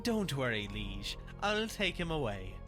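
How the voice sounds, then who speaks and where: Obsequious fantasy servant played by an actor, sly and needling, medium pitch, clear music bed. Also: very animated, a little slow. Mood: placating. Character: fawning, sly servant soothing his young master. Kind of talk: dramatic fantasy voice acting.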